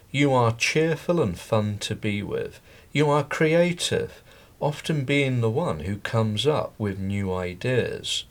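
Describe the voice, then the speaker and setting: A man reading model texts aloud for English learners, with an unhurried and expressive delivery. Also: mid-range voice.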